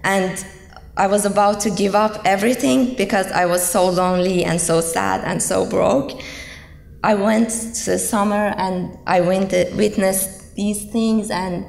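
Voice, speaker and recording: Slow, gravelly-voiced young woman from Iran. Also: mid-range voice, fairly even intonation, darkish tone. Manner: earnest, hesitant, many ums. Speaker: student speaker. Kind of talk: personal testimony speech. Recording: echoey hall, long reverb.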